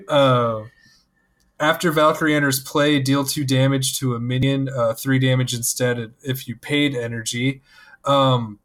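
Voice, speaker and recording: A man's voice: mid-range voice, a bit bright. A man co-hosting a casual card-game podcast who talks hesitantly with many fillers but sounds enthusiastic. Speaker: podcast co-host.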